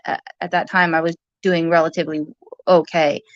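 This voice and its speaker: A soft-voiced woman talking casually as in a vlog, halting and rambling. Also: deep voice, level tone, no echo, lo-fi sound.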